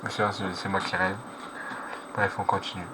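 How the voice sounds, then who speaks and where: Guy doing casual reaction commentary, lowish voice, slangy and hesitant, lots of background noise. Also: little pitch movement, normal speed. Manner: slangy, hesitant, self-correcting. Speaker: reaction video host. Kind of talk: casual live reaction commentary, translating on the fly. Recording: clearly noisy background.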